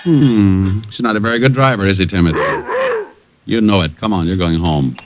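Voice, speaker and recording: An actor playing a wisecracking private detective who also narrates, in an old radio drama with dry, easy delivery. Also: male voice, low-pitched, moderate pace, noisy background, lightly acted. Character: wisecracking male private eye. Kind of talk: 1940s radio acting. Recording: dull vintage radio recording with music.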